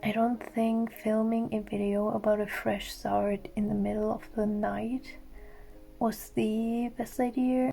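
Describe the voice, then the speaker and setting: Woman, raspy, soft-spoken, whispery, casual vlog, light music behind. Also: mid-range voice. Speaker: clay artist vlogger.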